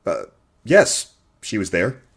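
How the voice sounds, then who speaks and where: A man playing an earnest, husky-voiced radio host. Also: lowish voice, huge pitch swings, a bit bright, heavily acted. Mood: hesitant. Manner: hesitant, understated, halting. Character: modest, earnest, smitten male radio show host. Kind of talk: radio comedy-drama acting.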